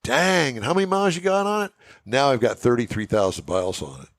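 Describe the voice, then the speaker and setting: A man's voice: mid-range voice, expressive, quick pace, no room sound, studio quality. A man hosting a car show with jovial, teasing banter, on a headset mic at an outdoor event. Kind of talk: casual on-air chat.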